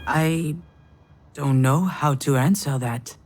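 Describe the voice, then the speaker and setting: An actress playing a bitter, overwhelmed daughter in a visual novel, with a sardonic, dramatic delivery. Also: female voice, low-pitched, takes it slowly, a little mellow, a little hiss or hum. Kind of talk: visual novel voice acting with inner monologue.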